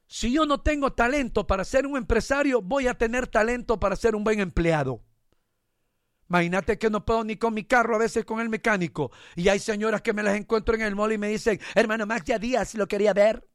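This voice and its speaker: A man's voice: high voice, no room sound, clean studio sound. A male preacher giving a sermon full of jokes, then leading a fervent prayer. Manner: fervent, joking, conversational.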